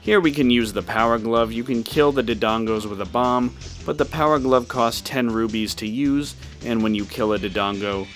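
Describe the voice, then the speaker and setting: A man's voice: medium pitch, moderate pace, hardly any pauses. A man giving casual walkthrough commentary on a video game. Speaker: gameplay walkthrough host. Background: game music.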